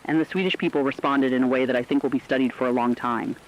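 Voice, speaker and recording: Guy playing earnest radio broadcaster in a disaster drama, quick solemn speechy delivery, muffled lo-fi sound. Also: medium pitch, steady tone, natural voice. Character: earnest male emergency broadcaster honouring ordinary people in crisis. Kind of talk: scripted radio monologue.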